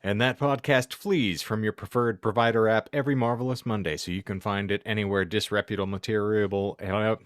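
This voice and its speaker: A man's voice: on the low side, steady tone, brisk, few pauses, smooth, dry recording, studio quality. A man hosting a comedic podcast, wordy and theatrical, rambling through florid, alliterative phrasing. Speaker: podcast host.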